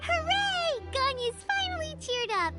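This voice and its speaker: A woman voicing a tiny, excitable, childlike fairy companion with a squeaky voice in a video game. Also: a little slow, full character voice. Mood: excited. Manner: exclaims, refers to self in third person. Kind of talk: cartoonish video game voice acting. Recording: music playing behind the voice. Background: game music.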